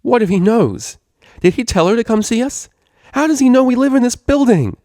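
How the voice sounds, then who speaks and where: A man's voice: very high voice, animated, brisk, mellow, no room sound, voice pushed up. Storybook role: informal, brash female unicorn DJ, slangy delivery, dry sound, male reader performing. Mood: panicked. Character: brash, casual female unicorn DJ, quick to worry.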